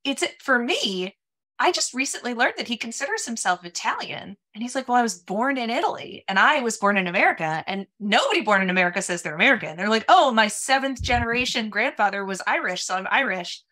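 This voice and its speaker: A woman in her thirties, raised in Minnesota, chatting as a guest on a video-call podcast, animated and full of casual fillers. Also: fairly high pitch, a little fast. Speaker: podcast guest, sales executive. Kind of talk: casual interview chat. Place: home office, small room.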